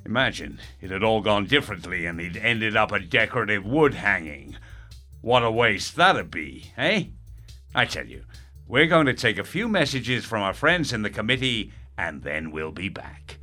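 An actor playing a pompous, sarcastic radio presenter in a darkly comic audio drama, condescending and theatrical. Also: male voice, lowish voice, sing-song, normal speed, sharp tone, soft background music, heavily acted. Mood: showy, jovial.

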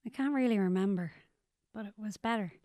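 A woman's voice: medium pitch, fairly quick, dark tone, dry recording, natural voice. A woman acting naturalistically in a radio drama as a guarded, protective elder to someone younger, speaking plainly and matter-of-factly.

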